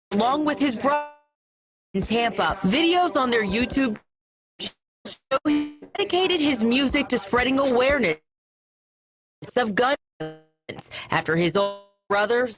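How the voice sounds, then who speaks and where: A woman's voice: a little high, low-quality recording. An American woman delivering a scripted television news report as a field reporter.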